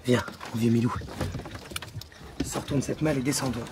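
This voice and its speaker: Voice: medium pitch, fairly even intonation, normal speed, heavy background noise, lightly acted. A performer playing a young adventurer talking fondly to his dog in a radio serial. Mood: brisk, adventurous. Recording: loud music and effects behind.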